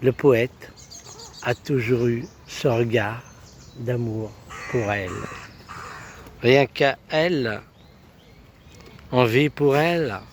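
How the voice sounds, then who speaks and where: A man reciting love poetry in a solemn, lyrical way, in short halting phrases. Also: medium pitch, a little hiss or hum. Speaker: poet reciting own poems.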